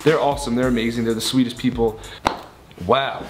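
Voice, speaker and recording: Young guy talking to his viewers, casual host chat, middle pitch, bit of music under it. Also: steady tone, fairly quick, rough recording. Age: young adult. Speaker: video host.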